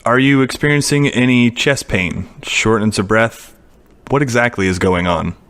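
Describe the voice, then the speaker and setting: A man's voice: mid-range voice, moderate pace, fairly bright tone, dry recording, lightly acted. A dry, close recording of an actor playing a weary hotline operator. The man has a mid-range voice and a matter-of-fact, long-suffering patience suited to comedy radio drama.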